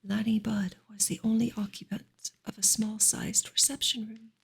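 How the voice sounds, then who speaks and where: A woman reading a book aloud for ASMR in a soft, breathy, unhurried whisper. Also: on the high side, flat delivery. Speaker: ASMR reader and streamer.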